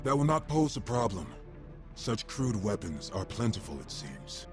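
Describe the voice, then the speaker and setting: An actor playing a stern, honorable robot samurai in a video game, with proud and formal phrasing. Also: male voice, lowish voice, big pitch swings, normal speed. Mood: confident. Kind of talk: dramatic video game voice acting. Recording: clear music behind the voice.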